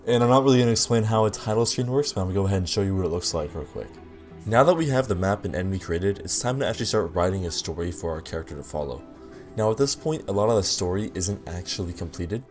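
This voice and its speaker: A man's voice: low-pitched, a little mellow. A man narrating a scripted video devlog, explaining things directly to his viewers. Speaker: video creator, game developer. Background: music.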